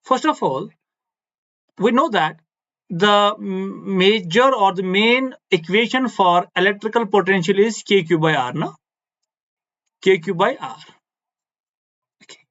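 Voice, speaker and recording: A high-pitched male physics teacher whose first language is Kashmiri, explaining step by step in simple English. He repeats himself for emphasis and keeps asking "no?" to check that students follow. The recording sounds slightly muffled and lo-fi. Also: lively intonation, a little slow, long pauses, fairly bright tone. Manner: repeats key phrases, asks "no?" for agreement. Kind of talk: blackboard physics lecture.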